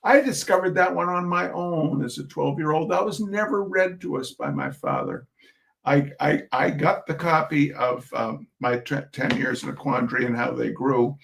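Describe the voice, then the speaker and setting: A man reading comic essays aloud to listeners in a theatrical, expressive way, breaking off for chatty, wry asides. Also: a little high, creaky. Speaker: reader of humorous essays.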